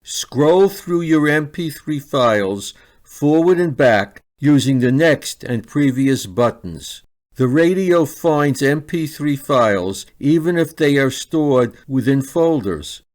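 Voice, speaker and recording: A man reading a scripted, step-by-step product review in a measured, instructional manner. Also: on the high side, expressive, a little mellow.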